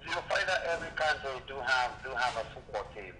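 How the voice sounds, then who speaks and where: A man from Kenya speaking as a radio guest over the phone, halting and repeating words as he answers. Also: medium pitch, expressive, echoey room, a crowd in the background, poor audio.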